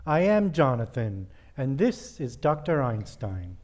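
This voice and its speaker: Guy doing a menacing bossy nephew in an old radio style play, normal pitch, sinister n insistent. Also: big pitch swings, unhurried, darkish tone. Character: sinister, domineering long-lost nephew with changed face. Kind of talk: old-time radio comedy acting.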